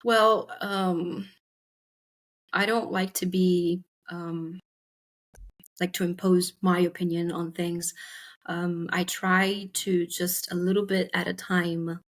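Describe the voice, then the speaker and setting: A young woman from Italy answers podcast questions in a slightly low voice. She thinks aloud, pausing and filling gaps as she explains herself. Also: little pitch movement. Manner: thoughtful, hesitant, with fillers. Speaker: podcast guest. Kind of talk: casual interview.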